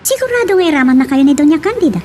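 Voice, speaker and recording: A woman's voice: high-pitched, very animated, very fast, warm tone, slight background noise. An actress with an Ilocano accent playing an earnest, insistent young policewoman in a radio drama. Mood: accusing, insistent.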